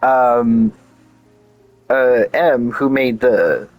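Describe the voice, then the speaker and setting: A man's voice: mid-range voice, drawn-out words, no echo, faint music behind. A man giving casual playthrough commentary, rambling and thinking aloud as he goes. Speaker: gaming video host.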